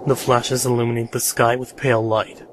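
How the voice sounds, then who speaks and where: A man playing a solemn, brooding narrator in a dramatic visual novel fandub, deliberate in delivery. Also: mid-range voice, flat delivery, background noise, natural voice. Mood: solemn foreboding.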